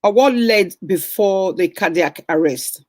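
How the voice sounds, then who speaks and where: A man's voice: sits high, animated, a little slow, a little mellow, no echo. Curious male interviewer, dry sound. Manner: curious, encouraging, hesitant. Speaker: host. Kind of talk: interviewing a guest.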